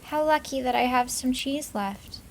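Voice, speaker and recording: A woman's voice: high-pitched, moderate pace, a little hiss or hum, pitched above the performer's own voice. A woman doing a polite, timid little girl. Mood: meek. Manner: polite, soft and earnest.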